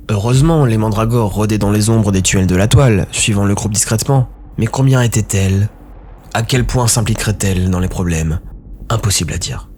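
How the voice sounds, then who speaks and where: A man's voice: lowish voice, a little mellow. A man giving a dramatic scripted narration of a story. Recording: background music under narration.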